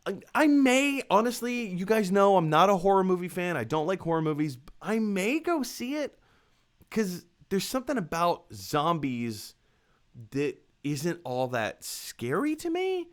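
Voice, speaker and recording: A man's voice: sits high, very animated, unhurried. A man hosting a live discussion show, giving casual, opinionated movie commentary in a conversational way, with emphatic repeats and self-corrections.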